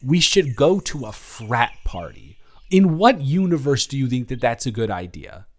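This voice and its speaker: A man's voice: mid-range voice, sing-song, moderate pace. A young man playing an awkward, smitten, introverted college dormmate in a romantic audio roleplay, rambling and stammering in a flustered way. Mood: playfully indignant. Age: young adult. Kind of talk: intimate romantic audio roleplay, conversational acting.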